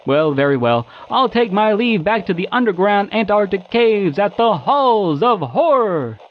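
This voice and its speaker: A man's voice: sits high, big pitch swings, a little slow. A man playing a flamboyant, verbose eldritch demon showman, grandiose and theatrical, in a comedy audio sketch. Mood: theatrically wounded. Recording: dull, band-limited lo-fi sound.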